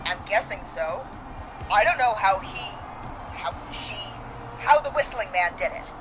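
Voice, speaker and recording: A woman's voice: medium pitch, lively intonation, measured pace, music in the background, natural voice, rough recording. A woman playing a confident, folksy small-town police deputy, speaking with casual resolve in a dramatic scene. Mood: brisk, determined. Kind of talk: dramatic game voice acting.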